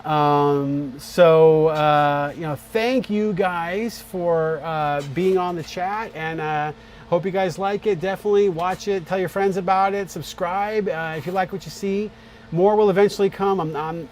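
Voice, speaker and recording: A man with a slightly raised pitch chats loosely on a live stream, thanking viewers and drifting between topics. He talks with many fillers and repeated starts. Faint ambient noise hums behind him. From born on a US Navy base in Morocco, raised in many places. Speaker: YouTube creator hosting a live premiere chat. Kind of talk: casual live-stream chat.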